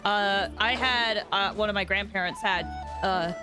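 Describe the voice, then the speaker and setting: A woman's voice: medium pitch, unhurried. A woman livestreamer giving casual, chatty, rambling reactions to a video game. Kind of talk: casual game stream commentary. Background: game music.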